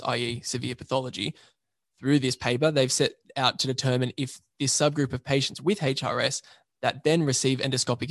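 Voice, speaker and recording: A man, an emergency registrar, presenting a journal paper by reading a prepared summary in a measured, methodical way. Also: mid-range voice, no echo.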